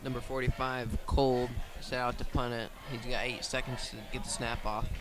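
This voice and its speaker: A man giving live radio play-by-play commentary outdoors at a football game, in an even delivery. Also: medium pitch, moderate pace. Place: outdoors at a high school football field.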